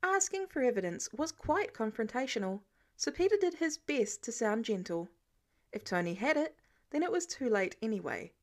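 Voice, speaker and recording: A woman's voice: on the high side, big pitch swings, normal speed. A woman reading scripted fiction narration as an audiobook narrator. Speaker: podfic narrator.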